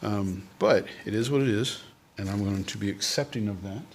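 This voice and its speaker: A man's voice: lowish voice, moderate pace, slight background noise. A man talking casually and unscripted as he demonstrates drawing, thinking aloud and trailing off as he goes. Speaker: artist hosting a drawing video.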